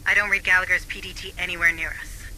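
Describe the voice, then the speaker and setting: A woman's voice: on the low side, flat delivery, fast talker, sharp tone, a little hiss or hum, rough recording. A woman playing an analytical female company administrator in a sci-fi video game, speaking in a matter-of-fact, precise way. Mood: focused, explanatory. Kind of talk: scripted video game dialogue. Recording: faint background ambience.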